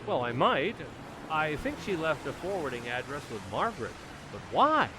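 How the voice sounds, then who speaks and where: A man's voice: on the high side, big pitch swings, normal speed, noisy background. A man playing an eager, self-reproaching family man in a vintage-style radio comedy, with an earnest, theatrical and easily flustered delivery. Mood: eager, obliging. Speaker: community theater actor.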